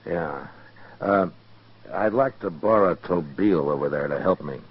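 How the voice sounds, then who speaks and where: An actor playing a steady, determined, dry and plain-spoken marshal in a 1950s radio western. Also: male voice, deep voice, a little slow, slightly rough. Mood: thoughtful, firm. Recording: dull lo-fi 1950s radio recording.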